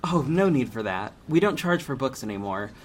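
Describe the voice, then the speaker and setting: An actor playing a friendly young shopkeeper and amateur sleuth who jokes around and gets easily flustered. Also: male voice, mid-range voice, moderate pace, slight background noise, natural voice. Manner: lively, joking, easily flustered.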